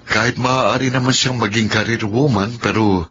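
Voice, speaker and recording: A man's voice: mid-range voice, steady tone, moderate pace, fairly bright tone, natural voice. A man playing a patient, earnest young husband and respectful son in a Filipino radio drama. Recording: dull lo-fi radio recording, faint noise.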